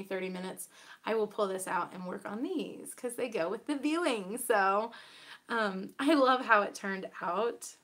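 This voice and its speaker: Raspy-voiced woman chatting about knitting. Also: mid-range voice, a bit bright. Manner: chatty, bubbly, many fillers and asides. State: raspy from a cold. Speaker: knitting podcast host. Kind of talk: casual show-and-tell chat.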